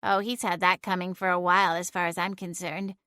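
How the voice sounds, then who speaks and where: A woman voicing a sassy female talking cat with a wry, quick, teasing delivery, heard in a storybook reading. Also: lowish voice, flat delivery, bright, forceful tone, no room sound.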